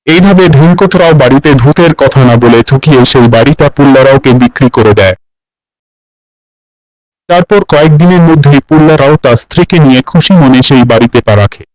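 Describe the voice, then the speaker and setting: A man's voice: mid-range voice, moderate pace, a little mellow, dry recording, poor audio. A man narrating a cartoon fairy tale in a scripted storytelling style.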